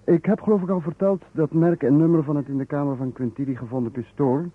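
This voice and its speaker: An actor playing an earnest, methodical police investigator in an old radio drama, deliberate and matter-of-fact. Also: male voice, on the high side, steady tone, breathy edge. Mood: explanatory, earnest. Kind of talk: old Dutch radio detective drama acting. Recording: muffled, narrow-band vintage radio recording.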